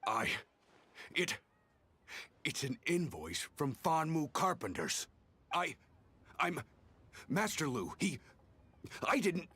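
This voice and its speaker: Flustered, raspy, guilty merchant. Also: male voice, a little high, sing-song, sharp tone, exaggerated voice. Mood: panicked. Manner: stammering, halting. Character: flustered, guilty, indignant merchant cornered over tax evasion. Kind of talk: video game character acting.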